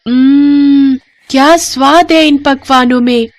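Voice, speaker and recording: Woman doing an eager, higher-voiced village girl. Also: steady tone, slow pace, dry recording. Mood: excited. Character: eager, sweet young village daughter. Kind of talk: Hindi moral storybook acting.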